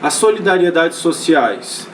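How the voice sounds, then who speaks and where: A man's voice: fairly high pitch, big pitch swings, unhurried, distant mic, a little hiss or hum, rough recording. Brazilian male teacher, casual lecturing. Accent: Brazilian Portuguese. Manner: casual, many fillers and restarts, checks in with students. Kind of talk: recorded online class lecture.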